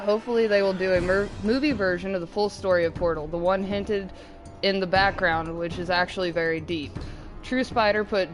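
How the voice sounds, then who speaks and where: A woman hosting a gaming stream in a casual chatty style, moderating and reading out viewer comments. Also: mid-range voice. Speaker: gaming show host. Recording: music behind the voice.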